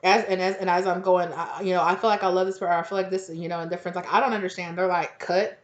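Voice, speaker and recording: A woman with a slightly low, hoarse voice chats her way through a tarot reading, full of slang and quick acted-out quotes. Her throat sounds worn, close to giving out. The recording is echoey and a little dull, like a bare room at home. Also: fairly even intonation. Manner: rambling, slangy, acts out quotes. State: hoarse, nearly losing her voice. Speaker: tarot reader. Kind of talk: casual channeled tarot reading.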